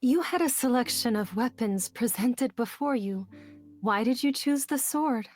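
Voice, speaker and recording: An actress playing a gentle, wise queen mother in a video game, speaking in a measured, solemn way over music. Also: fairly high pitch, lively intonation. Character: gentle wise queen mother counseling her young son. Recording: music under the voice.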